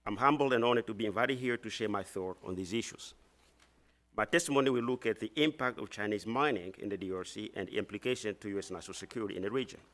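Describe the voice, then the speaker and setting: A man in his thirties with a Congolese accent, reading formal prepared testimony as an expert witness in a measured way. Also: lowish voice, fairly bright tone. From eastern Democratic Republic of the Congo. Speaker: expert witness at a legislative hearing.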